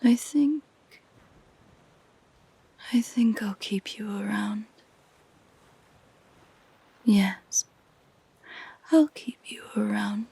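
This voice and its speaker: Woman playing a slow, whispering, possessive siren. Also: medium pitch, no room sound, full character voice, rough recording. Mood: possessive, coaxing. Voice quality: soft, breathy whispering. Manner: slow, gentle, intimate. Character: lonely possessive female siren, soft and coaxing. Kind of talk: roleplay audio drama for a listener.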